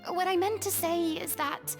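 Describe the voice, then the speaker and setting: A woman's voice: extremely high pitch, fairly even intonation, moderate pace. A woman voicing a young, theatrical former stage star in a video game, speaking in a poised, formal way. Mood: reflective. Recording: game audio with loud background music.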